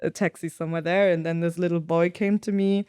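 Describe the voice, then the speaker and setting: A young woman from Germany, a podcast guest, telling travel stories in a thoughtful, lively way. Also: fairly low pitch, brisk, clean tone, no room sound, clean studio sound. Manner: thoughtful storytelling, lively when quoting others. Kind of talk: casual conversational interview.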